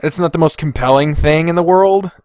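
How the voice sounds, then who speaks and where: Male podcast host, slightly high voice, dry close-miked sound, casual and opinionated, swears freely. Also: brisk, a little mellow, dry recording. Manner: blunt, frequent swearing.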